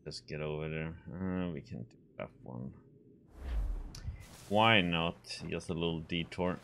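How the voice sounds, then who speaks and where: A man's voice: low-pitched, measured pace, gravelly, a bit bright, a little hiss or hum. A man from Sweden, casually commenting on a video game as he plays and muttering to himself and to the characters on screen. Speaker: game streamer.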